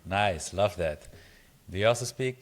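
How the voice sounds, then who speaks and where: A man's voice: sits low, lively intonation, a little slow. A man hosting a podcast, upbeat and friendly, reading a polished intro and asking questions. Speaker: podcast host.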